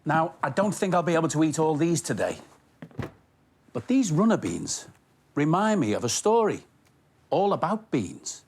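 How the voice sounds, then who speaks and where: A man narrating a story for children as host, expressive and speaking directly to young listeners. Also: on the high side, normal speed, long pauses, slight background noise. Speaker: storytelling host of a children's show, station worker persona.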